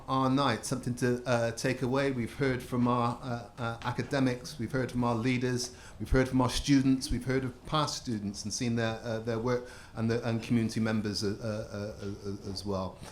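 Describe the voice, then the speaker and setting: A man hosting a public event, giving closing thanks in a halting way, with many fillers and repeated words. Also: medium pitch, level tone, a bit bright, slight background noise. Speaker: event host.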